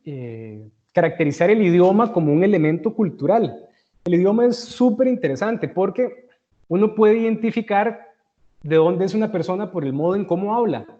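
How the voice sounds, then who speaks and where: A Costa Rican man explains a lesson to his students in a slightly high voice, working through everyday examples and often pausing to check that they follow. The sound is dull and lo-fi, as from an online call. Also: dark tone. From Costa Rica. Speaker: teacher.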